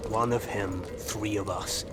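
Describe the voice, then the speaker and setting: A man's voice: lowish voice, fairly even intonation, takes it slowly, heavy background noise. A man acting a bold, impatient assassin in a video game, talking in a blunt, sardonic way. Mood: defiant. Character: gruff male assassin, bold, impatient for action. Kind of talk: dramatic video game dialogue.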